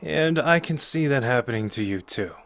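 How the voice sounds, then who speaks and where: Cocky, playful young sorcerer with lively swoops, man acting. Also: medium pitch, normal speed. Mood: earnest, persuading. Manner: casual, teasing, intimate and conversational. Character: cocky, playful young male sorcerer, earnest and protective underneath. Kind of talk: ASMR roleplay acting addressed to the listener.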